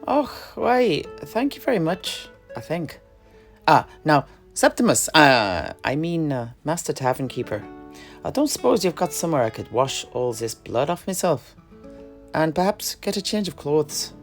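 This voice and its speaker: A man plays a roguish, cheerful alchemist in a fantasy audio drama. His talk is breezy and folksy, with lively swings in pitch. Faint music plays underneath. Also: unhurried. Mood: pleased, breezy. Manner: folksy, breezy, dialect grammar. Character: cheerful brash wandering male alchemist, blood-spattered, unbothered. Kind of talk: in-character audio drama dialogue.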